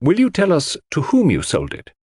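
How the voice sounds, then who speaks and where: A probing official coroner, performed by a male narrator. He is formal and measured, courteous yet stern, with pitch lifted and moving freely. The audiobook dialogue is closely and dryly recorded. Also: wildly swooping pitch, darkish tone, breathy edge, no echo, clean studio sound, full character voice.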